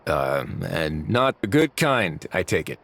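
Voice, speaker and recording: A man's voice: very deep voice, very animated, takes it slowly, fairly bright tone, exaggerated voice. A man voicing a wry, composed prison duke in a video game, with a dry, laid-back and teasing manner. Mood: wry, amused.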